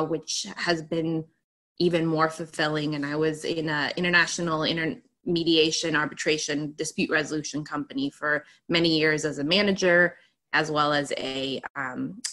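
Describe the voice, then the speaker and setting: A woman's voice: low voice, fairly even intonation, moderate pace, fairly bright tone. A woman from Greece, a podcast guest, answering interview questions with enthusiasm in long run-on turns. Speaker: podcast guest, attorney and author.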